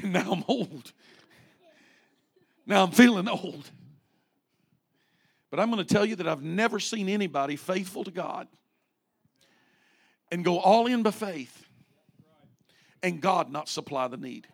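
A man's voice: high-pitched, expressive, a little slow, gravelly, pauses often. A man in his late forties, a pastor preaching a fervent sermon with rising repeated refrains. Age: 40s.

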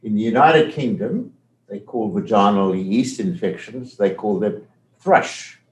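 Older South African man as an interview guest, measured and explanatory, low-set voice, echoey conference room. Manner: measured, explanatory, frequent restarts. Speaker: medical professor guest.

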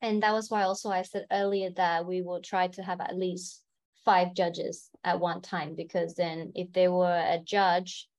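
A woman answering questions as an interview guest in a soft, plain way. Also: lowish voice, little pitch movement, unhurried. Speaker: guest from a sports federation.

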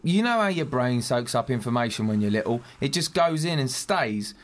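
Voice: medium pitch, expressive, normal speed, close to an everyday voice. An actor playing a naive, nervous smuggler, earnest and rambling, in an audio drama. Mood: wistful, earnest. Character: nerdy, nervous, naive smuggler, keen on birds and shells.

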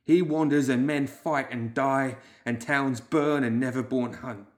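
Audiobook clerk, played by a male narrator: haunted, whimpering, fearful, obsessive, pitched up. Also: fairly even intonation, natural voice. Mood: haunted, grave. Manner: whimpering, wailing, drawn-out fearful delivery.

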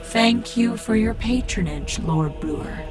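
A woman playing a shrewd, commanding cult priestess in a science fiction audio drama, speaking in a measured, formal way. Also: a little high, music in the background, natural voice.

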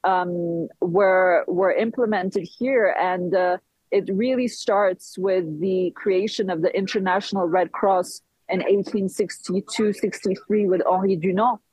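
A woman from Switzerland giving live political commentary as a guest, speaking haltingly with frequent pauses. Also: medium pitch, fairly even intonation, darkish tone, slightly rough, dry recording, rough recording. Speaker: guest commentator reporting from a conference town.

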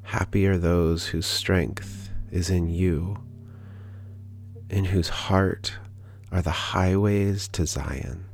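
Slow, low-voiced man guiding meditation. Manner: calm, gentle, unhurried with long pauses. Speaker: podcast host leading guided meditation. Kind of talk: guided prayer meditation with scripture reading. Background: background music.

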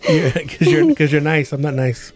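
A man's voice: fairly high pitch, big pitch swings, normal speed, a little mellow, soft background music. A man in his forties who grew up in the Los Angeles area with Spanish as his first language, co-hosting a podcast in blunt, profane, rambling chat. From Los Angeles area, California. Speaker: podcast co-host. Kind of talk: casual chat.

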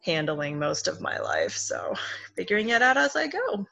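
A woman from Ohio, a podcast guest, introducing herself in a slow, wry, joking way. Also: medium pitch, huge pitch swings, vocal fry, sharp tone. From Ohio, United States. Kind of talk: casual podcast chat.

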